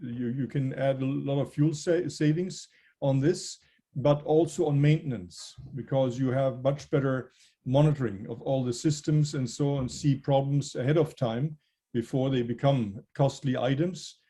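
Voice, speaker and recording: Mellow, husky man explaining aviation tech. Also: medium pitch. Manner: measured, hesitant, explaining technical points. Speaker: aviation technology guest. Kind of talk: interview answers.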